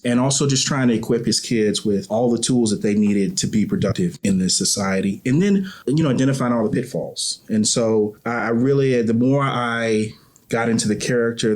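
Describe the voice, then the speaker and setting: Give the voice of a man who is a guest on an interview show. His pitch is a little low. He talks casually and reflectively, with halting, thoughtful phrasing. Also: a little mellow.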